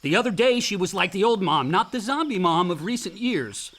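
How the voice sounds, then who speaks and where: A man's voice: high-pitched, lively intonation, a little fast, a bit bright, exaggerated voice. A man acting a bitter, hot-tempered young adult son in a naturalistic radio play, blunt and sarcastic. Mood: bitter, agitated.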